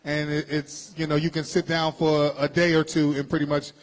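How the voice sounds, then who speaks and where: A man's voice: a little high, flat delivery, moderate pace, rough recording. A man hosting an interview, asking long questions and affirming his guest in an encouraging way. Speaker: interview host. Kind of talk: casual interview talk.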